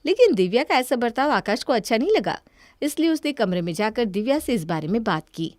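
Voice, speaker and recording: A woman's voice: a little high, sing-song, brisk, a little mellow, dry recording, clean studio sound. A woman reading scripted story narration.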